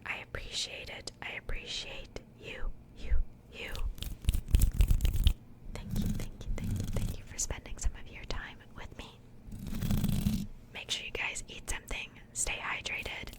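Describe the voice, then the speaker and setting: A woman whispering softly and breathily in a casual, gentle ASMR style, chatting conversationally close to the microphone. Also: normal speed. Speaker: host of an ASMR doll unboxing channel.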